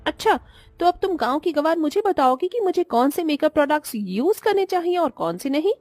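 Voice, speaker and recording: A woman voicing a haughty, quick-tempered young city daughter-in-law in a cartoon, scornful and mixing in English. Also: sits high, big pitch swings, dry recording. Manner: scornful, sharp-tongued, mixes in English. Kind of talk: cartoon moral story voice acting.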